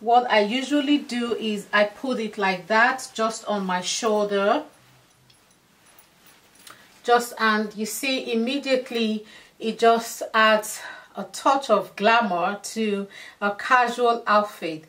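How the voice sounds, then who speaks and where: A woman in her thirties chatting to camera as a fashion vlogger, affectionate and chatty, a little hoarse from losing her voice, recorded in a dressing room by a camera a few steps away. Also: on the high side, takes it slowly, a bit bright. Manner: chatty, affectionate, addresses viewers as darlings. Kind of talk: casual styling talk to camera.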